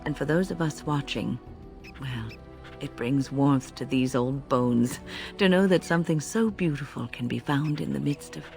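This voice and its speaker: An actress playing a wise, gentle elderly female mentor in a video game, speaking in a measured, reflective way. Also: rumbling low, vocal fry, faint music behind. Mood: tender, solemn. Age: older. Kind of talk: video game character acting.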